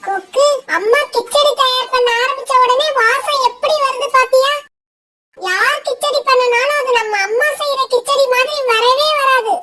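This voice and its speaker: Squeaky, bright little cartoon bird. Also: female voice, moderate pace. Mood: eager. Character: friendly little female bird, bright and chirpy. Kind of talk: children's cartoon story dialogue.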